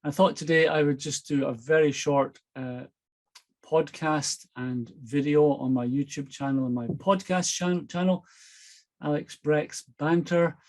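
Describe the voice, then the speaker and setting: A man's voice: fairly high pitch, unhurried, darkish tone. A Scottish man, an author, chatting and reading aloud from his own novel. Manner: enthusiastic, chatty.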